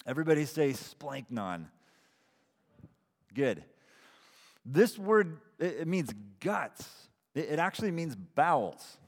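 A man's voice: a little high, very animated, slow pace, pauses often. A man of about forty giving a casual, self-deprecating sermon as pastor, with frequent restarts. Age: 40s. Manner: conversational, self-deprecating preaching with repeated starts. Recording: long hall reverb.

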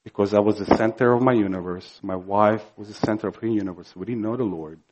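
A man from Croatia with a Croatian accent, preaching a sermon earnestly and emphatically, slow and building to fervor. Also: on the low side, darkish tone, lo-fi sound. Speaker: pastor.

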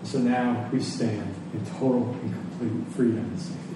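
A man preaching a sermon as a pastor, speaking earnestly and deliberately. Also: lowish voice, steady tone. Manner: earnest, rhetorical questions, deliberate pauses. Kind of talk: sermon closing in prayer. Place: large echoey room before a congregation. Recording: echoey hall, distant mic, faint noise.

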